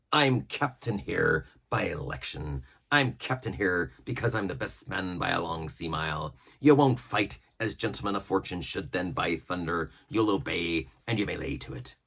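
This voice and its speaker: A man's voice: low-pitched, gravelly, fairly bright tone, slightly rough, exaggerated voice. A man voicing a fierce, swaggering old one-legged pirate cook who commands and threatens in a storybook reading. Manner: menacing, swaggering, uses old nautical slang. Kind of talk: storybook character voice.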